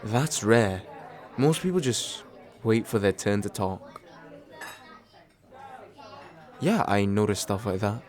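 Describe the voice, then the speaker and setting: A man playing a tender, nervous, attentive date in a soft, intimate ASMR roleplay, halting and gently self-deprecating with small stammers. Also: on the low side, a faint crowd, close to an everyday voice. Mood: tender, reassuring. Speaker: voice actor in an audio roleplay.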